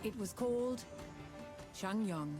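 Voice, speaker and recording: Woman, medium pitch, scripted documentary narration, measured dramatic storytelling, clear background music. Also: animated.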